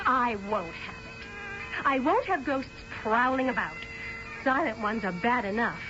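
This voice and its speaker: An actress playing an imperious young British fiancée in a radio drama, clipped and theatrical. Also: female voice, on the high side, animated, a little slow, music in the background. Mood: indignant. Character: haughty, imperious young English fiancée, exasperated by ghosts. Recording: dull vintage radio drama recording.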